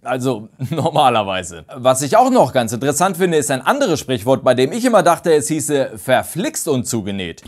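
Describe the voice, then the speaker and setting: Host in his thirties with a lively, up-and-down voice, a touch higher than most men. He speaks into a lapel mic in an indoor room. He tells his amused stories with energy. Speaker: video host. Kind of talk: commentary on radio-play bloopers. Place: indoor studio room with shelves and a clock.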